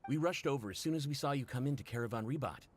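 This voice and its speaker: A man's voice: medium pitch, racing, a little hiss or hum, lightly acted. A man voicing a cocky, joking mercenary in a game. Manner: flirty, joking.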